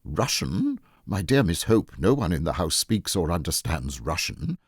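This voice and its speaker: A man's voice: low-pitched, very animated, unhurried, slightly rough, dry recording, studio quality, full character voice. A man reading the part of a pompous, fussy, autocratic but easily flustered wealthy Edwardian matron in a storybook. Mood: affronted. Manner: pompous, fussily insistent.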